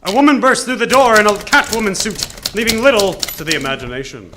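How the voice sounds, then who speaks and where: Wisecracking private detective, high male voice, very animated intonation, deadpan gumshoe slang, radio-play noir parody, noisy stage recording. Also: brisk. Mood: wry narration. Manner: theatrical, deadpan quips, old-time gumshoe slang. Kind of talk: live comedic radio drama, noir narration. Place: live on stage in a theater.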